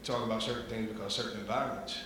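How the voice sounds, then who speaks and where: A middle-aged man in the audience asking a panel an earnest, pointed question, with long pauses between phrases. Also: on the low side, steady tone, a bit bright, distant mic. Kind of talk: question from the floor. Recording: echoey hall with faint noise.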